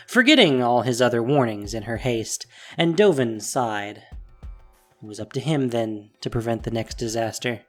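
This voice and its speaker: Narrator, male: dramatic scripted storytelling, swooping intonation, emphatic stresses, soft music behind. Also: mid-range voice.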